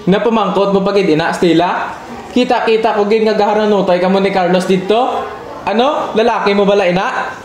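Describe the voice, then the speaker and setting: A man's voice: sits high, a little fast, natural voice. A man playing a jealous, accusing, abusive young husband in an amateur radio drama, with a Western Visayan accent. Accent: Western Visayan Philippine. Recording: roomy echoing recording, faint music.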